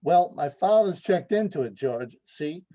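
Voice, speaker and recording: A man's voice: a little high, expressive, measured pace, mellow. A man playing a brash, jovial young businessman on the telephone, in 1940s radio drama style. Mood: boastful. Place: indoors, recorded over a video call.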